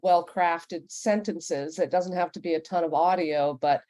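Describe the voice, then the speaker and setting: A woman's voice: on the low side, fairly even intonation, unhurried, dark tone, dry recording. A woman moderating a panel discussion, giving plain-spoken advice in a conversational way and putting questions to the panelists. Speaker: panel moderator and podcast executive.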